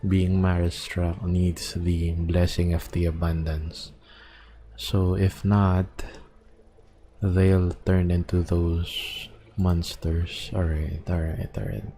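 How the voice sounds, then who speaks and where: A man giving casual, low-key live commentary as he streams a video game. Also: flat delivery, takes it slowly, warm tone, slight background noise.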